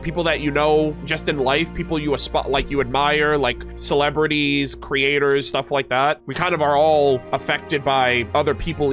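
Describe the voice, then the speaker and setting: This is a man with a fairly high voice that sounds stuffed up from a cold. He streams casually, moving between reading aloud and heartfelt, emotional commentary. Instrumental music plays behind him. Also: fairly bright tone. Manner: casual, earnest, swearing. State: congested from a cold. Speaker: streamer reacting to and reading out game lore.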